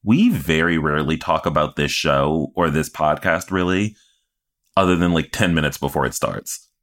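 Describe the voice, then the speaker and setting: A man's voice: a bass voice, moderate pace, fairly bright tone. A man co-hosting a podcast, chatting casually about television in a wry, opinionated, conversational way. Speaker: podcast co-host. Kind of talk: casual TV recap chat.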